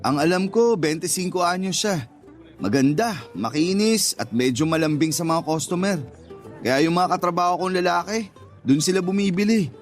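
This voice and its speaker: High-voiced teasing male suitor. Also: animated, normal speed, soft background music. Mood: placating, clueless. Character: teasing, naive male regular customer and suitor. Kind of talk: Tagalog radio drama acting.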